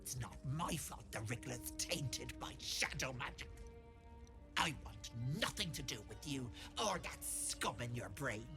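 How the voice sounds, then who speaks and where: A woman's voice: very deep voice, lively intonation, a little slow, vocal fry, exaggerated voice. An actress playing a cruel, wheedling old hag in a video game, folksy and scolding, with menace in her endearments. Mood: cold, spiteful. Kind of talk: video game character acting. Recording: game music behind the voice.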